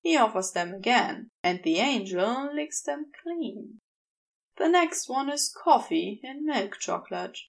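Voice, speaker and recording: A woman reading a piece of fiction in a measured, deliberate, intimate storytelling style. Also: a little high, expressive, creaky. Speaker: amateur audiobook narrator.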